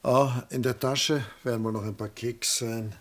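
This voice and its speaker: An actor playing a reserved, melancholy middle-aged man, laconic and measured, in a 1960s radio drama. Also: medium pitch, steady tone, close to an everyday voice. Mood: dryly gentle. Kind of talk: 1960s German-language radio drama acting.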